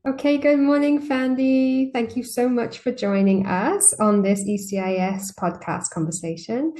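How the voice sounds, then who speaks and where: Mellow-voiced woman hosting a podcast. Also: on the high side, a little slow. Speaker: podcast host. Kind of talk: conversational podcast interview.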